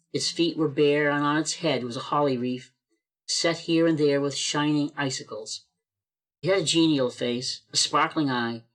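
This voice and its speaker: A woman narrating a radio play over a video call, telling the story in a measured, expressive way. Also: low voice, low-quality recording.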